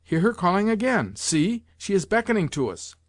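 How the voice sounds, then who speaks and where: Man acting as reserved gentleman, measured, matter-of-fact, lifted pitch, dry storybook recording. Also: very animated, no echo. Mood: observant. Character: reserved, dogged English gentleman.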